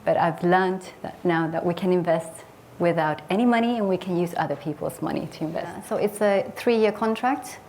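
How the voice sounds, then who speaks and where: Earnest, low-voiced woman telling her story. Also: moderate pace, a little mellow, slight background noise. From Sweden. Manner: earnest and reflective, with frequent hesitant fillers. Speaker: property investor giving a case study talk. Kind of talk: personal case study presentation.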